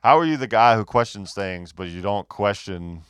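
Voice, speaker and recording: A comedian guest telling profane, lively anecdotes in a very low, smooth and resonant voice. The sound is close and dry. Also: male voice, normal speed, dry recording. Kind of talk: casual chat.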